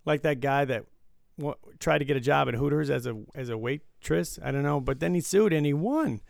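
A man's voice: fairly high pitch, fairly quick, gravelly, dry recording, studio quality. A man co-hosting a casual podcast from his bedroom, telling stories and riffing on his co-host's jokes. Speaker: podcast co-host. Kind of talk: casual joking chat.

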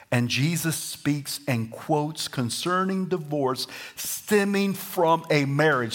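A Southern-accented pastor delivers a lively sermon. His voice sits fairly high for a man and swings from quiet asides to near-shouts and sung lines. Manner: lively preaching, rising to near-shouts and singing.

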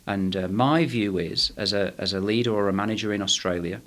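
A man's voice: on the low side, a little fast, background noise. A man in his forties from England with a Manchester accent, a podcast guest talking through his background with many fillers and restarts. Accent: Manchester English. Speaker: guest, head of a management body. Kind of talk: podcast interview.

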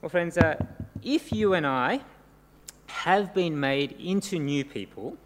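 A man's voice: on the high side, expressive, slow pace. Man, Korean-born, earnest pastor, long church reverb, faint hiss. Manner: earnest preaching with rhetorical tag questions. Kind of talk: sermon. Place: church service before a congregation.

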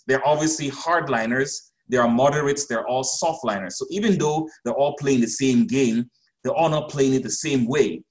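Guy from cameroon on a panel, researcher, says you know a lot, mid pitch. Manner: measured, many "you know" fillers. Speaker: researcher on democratic transitions, panelist. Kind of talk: panel discussion, unscripted.

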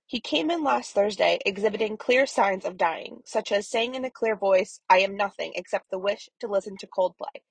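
Female poetry reader, husky tone, deadpan and measured, very dry acoustic. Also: mid-range voice, no room sound. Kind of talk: poetry reading.

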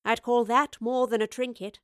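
A woman's voice: on the high side, level tone, normal speed, a bit bright, clear, resonant tone, no room sound, clean studio sound, close to an everyday voice. A woman voicing a polite, inquisitive lady professor in an audio story, with formal, precise, old-fashioned courtesy. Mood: courteous, curious.

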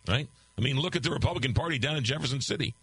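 Hoarse-voiced talk radio host man. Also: medium pitch, lively intonation, racing, fairly bright tone. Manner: loose, conversational, reads listener texts aloud. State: losing his voice. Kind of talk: call-in talk radio with live ad reads. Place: radio studio. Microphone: studio microphone.

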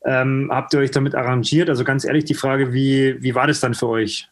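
A man's voice: mid-range voice, flat delivery, brisk. A man interviewing his guests in a casual conversation. He asks long questions and hedges a lot while he phrases them.

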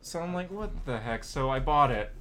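Casual mid-pitched man chatting on a podcast. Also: animated, moderate pace, fairly bright tone. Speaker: podcast co-host. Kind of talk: casual chat.

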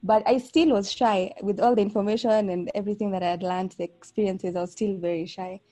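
A woman from Kenya, a pastor, speaks in a relaxed, reflective way on a podcast. Her voice is mellow and soft-edged, sitting at an everyday pitch. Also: fairly quick. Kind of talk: casual podcast chat.

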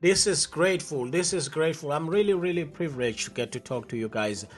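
A male radio host interviewing a guest, enthusiastic and halting, with many fillers and restarts. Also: fairly high pitch, faint music behind.

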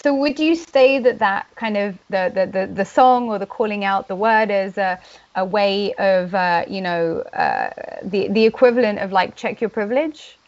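A woman hosts an interview in a mid-pitched voice. She asks careful questions and murmurs agreement while she listens. The recording sounds slightly dull. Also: moderate pace.

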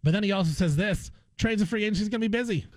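Male sports radio co-host, high voice, emphatic and opinionated, repeats himself to drive points home, dry studio sound. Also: racing, dry recording. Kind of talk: opinionated sports talk debate.

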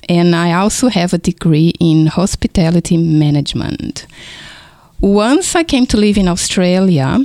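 A woman's voice: on the low side, a little mellow, dry recording. Brazilian-accented woman hosting radio. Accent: Brazilian Portuguese. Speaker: radio host and dog trainer. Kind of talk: community radio chat. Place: radio studio.

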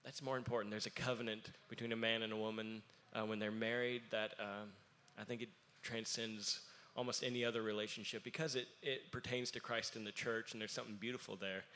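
A young man with a somewhat low voice talks earnestly on a panel. He pauses often and fills gaps with ums. His talk is casual but heartfelt. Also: flat delivery, sharp tone. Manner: earnest, halting with many fillers. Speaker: musician in family band.

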